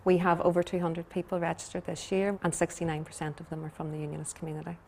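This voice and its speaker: Fast-talking woman teacher. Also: on the low side, completely flat delivery, gravelly, a little mellow, a little hiss or hum. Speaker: Irish language teacher. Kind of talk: interview answers.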